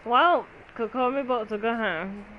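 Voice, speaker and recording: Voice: mid-range voice, normal speed, lo-fi sound. A gaming streamer chatting casually during gameplay.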